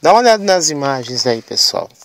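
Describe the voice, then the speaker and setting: A middle-aged man hosting his channel with a hoarse voice from a cold, chatting casually and often tagging his sentences with "né". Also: fairly high pitch, big pitch swings, fast, noisy background. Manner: chatty, frequent "né" and "pessoal" tags. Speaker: channel host. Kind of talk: casual vlog news.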